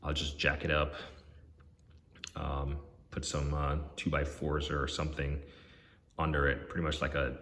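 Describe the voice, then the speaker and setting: Very deep-voiced man in an echoey garage. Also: fairly bright tone. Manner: halting, lots of ums and restarts. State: hoarse, losing his voice. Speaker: DIY garage vlogger. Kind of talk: casual rambling walkthrough. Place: home garage. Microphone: handheld phone camera.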